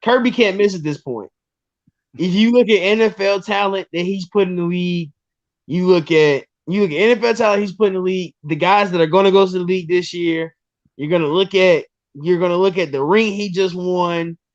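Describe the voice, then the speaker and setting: A man in his twenties, a guest on a sports podcast, talking casually and excitedly with lots of restarts and ums. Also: sits high, a little fast, no room sound, lo-fi sound. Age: 20s.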